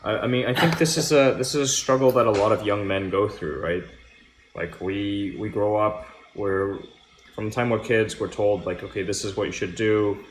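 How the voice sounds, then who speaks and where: A man in his thirties hosting a casual chat, relaxed and reflective, asking friendly questions. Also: lowish voice, moderate pace, slight background noise. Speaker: host. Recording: echoey room.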